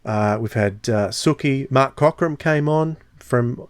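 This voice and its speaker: A man's voice: medium pitch, takes it slowly, smooth, no echo, studio quality. Chatty man hosting a podcast. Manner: chatty, storytelling, many fillers. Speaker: podcast host. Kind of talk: casual podcast chat.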